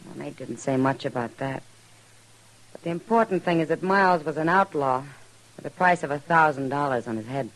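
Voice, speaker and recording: A woman's voice: low voice, brisk, poor audio. A woman playing a worldly, tired and loyal American saloon woman in a western radio drama, speaking frankly.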